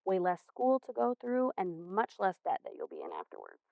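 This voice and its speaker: A woman playing a caring, patient high school counselor in a stage play, speaking in a gentle, earnest, measured way. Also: wildly swooping pitch, gravelly, dry recording. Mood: encouraging. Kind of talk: amateur stage play acting. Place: theater stage. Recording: muffled, dull stage recording.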